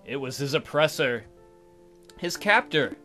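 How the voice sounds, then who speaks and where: Bright-voiced man reading a game aloud. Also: on the high side, animated, measured pace, faint music behind. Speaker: let's play host. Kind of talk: dramatic reading of game text with casual commentary.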